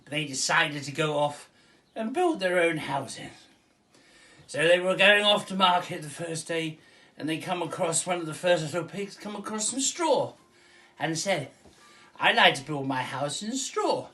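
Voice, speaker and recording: A man's voice: on the high side, animated, normal speed, pushed and bright. A man telling a rambling comic bedtime story, chuckling and wandering into asides.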